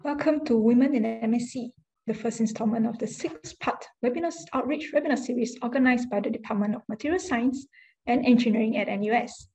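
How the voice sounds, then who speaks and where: A woman's voice: a little high, a little fast, warm tone, low-quality recording. A young woman moderating a university webinar, reading a formal introduction with a few fillers and slips.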